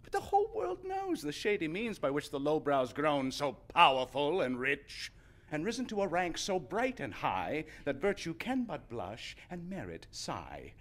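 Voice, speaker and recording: A man playing an indignant, gloomy misanthropic gentleman in a stage verse comedy, declaiming emphatically. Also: fairly high pitch, wildly swooping pitch, normal speed. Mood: indignant, bitter. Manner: declamatory, emphatic, crisp rhyming delivery.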